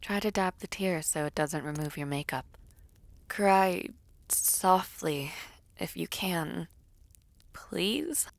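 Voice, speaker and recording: A woman's voice: on the low side, lively intonation, slow pace, creaky, long pauses, full character voice. A woman playing a protective, bossy but caring princess in an audio roleplay drama. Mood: brisk, caring.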